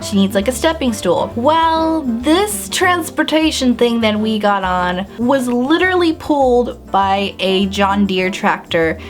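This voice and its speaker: A woman's voice: a little high, measured pace, few pauses. Young woman vlogging, bubbly storytime, lots of "like", faint music in the background. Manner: bubbly, animated storytelling with lots of "like" and acted-out reactions. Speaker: beauty and lifestyle vlogger. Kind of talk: casual storytime vlog.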